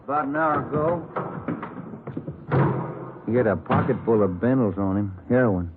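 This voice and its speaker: A man acting a plain-spoken police detective partner in an old radio drama, brisk and conversational, on a noisy, tinny recording. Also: mid-range voice, lively intonation, lightly acted. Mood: concerned, businesslike.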